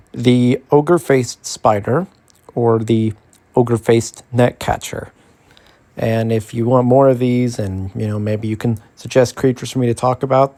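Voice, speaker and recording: Low-voiced man, informal ASMR explainer. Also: darkish tone, no echo. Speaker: host of an educational bug ASMR series.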